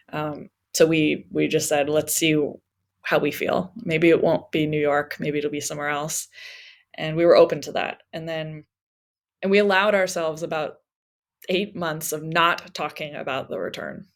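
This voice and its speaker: A woman's voice: low-pitched, creaky. Woman telling personal stories. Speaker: interview guest, travel memoir author. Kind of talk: conversational personal storytelling.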